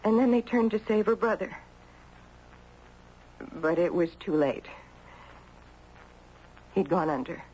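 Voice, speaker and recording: A woman's voice: on the low side, expressive, takes it slowly, pauses often, mellow, slight background noise. Grieving, haunted mother, old radio drama. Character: grieving mother telling her tragic story, haunted and intense. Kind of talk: 1970s radio drama acting. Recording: dull 1970s radio broadcast, faint music.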